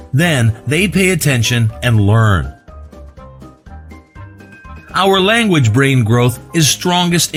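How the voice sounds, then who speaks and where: Explainer video guy, kinda high and very lively voice, light music under it. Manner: even, measured explainer read. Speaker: narrator. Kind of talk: scripted educational narration.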